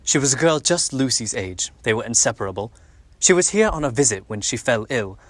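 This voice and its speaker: A man's voice: medium pitch, expressive, no echo, natural voice. A man playing a formal, authoritative Victorian doctor and worried father in a radio drama. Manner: formal, measured, commanding. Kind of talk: stage radio drama acting.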